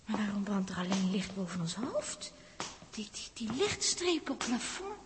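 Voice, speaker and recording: A woman's voice: medium pitch, moderate pace, big-room sound, natural voice. An actress playing an anxious, imaginative young female space explorer in a 1960s radio play, speaking Dutch with a Netherlands accent. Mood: nervous, hushed. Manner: anxious, excitable, exclaiming. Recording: noisy vintage 1960s radio recording with clicks.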